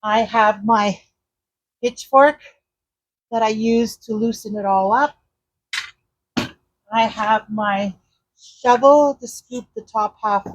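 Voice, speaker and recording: A chatty woman with a loud, slightly high voice talks her way through a gardening job, slow and unhurried. She is outdoors, and her mic hangs on a trellis near her. The sound is dry and close, with no room echo. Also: muffled recording. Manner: chatty, rambling, searches for words; talks while digging in the garden. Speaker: gardening vlogger. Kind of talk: casual how-to narration while working. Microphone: mic clipped to a garden trellis.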